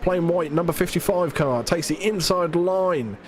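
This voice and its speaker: Congested British man calling a race. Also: on the high side. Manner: chatty, self-deprecating, quick play-by-play with fillers. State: congested from hay fever. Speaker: sim racing commentator. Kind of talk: live race commentary. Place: alone in a commentary booth.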